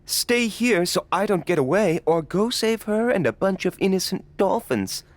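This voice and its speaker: A pretentious film director, effusive and touchy-feely, coaching actors in a video game scene. His pitch swings wildly as he speaks, full of theatrical feeling. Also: animated, normal speed, no room sound. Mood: dramatic line reading. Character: gushing, pretentious male film director running an audition. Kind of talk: video game cutscene acting.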